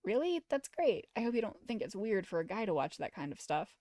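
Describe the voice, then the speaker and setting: A woman's voice: mid-range voice, sing-song, a little fast, a little mellow, no room sound, studio quality, lightly acted. A woman voicing a shy, awkward boy who is hesitant and earnest, in a visual novel. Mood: relieved, eager. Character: awkward high school boy, shy anime fan, apologetic. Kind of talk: visual novel dialogue read aloud.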